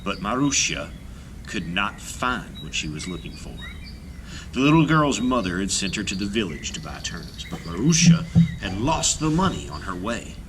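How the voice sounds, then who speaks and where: A man reading a children's folktale aloud as scripted narration, with dramatic delivery and hushed phrase endings. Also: on the low side, very animated, normal speed, noisy background. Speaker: storyteller, children's read-aloud host. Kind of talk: expressive scripted storybook narration.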